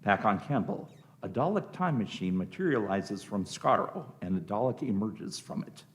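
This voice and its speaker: A man's voice: lowish voice, lively intonation, normal speed, a little hiss or hum. A man reading scripted narration as the narrator of a radio play.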